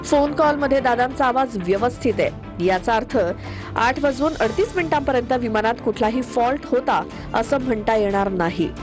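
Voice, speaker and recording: High-pitched woman narrating news over music. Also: moderate pace. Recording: voice-over with prominent music bed. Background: background music.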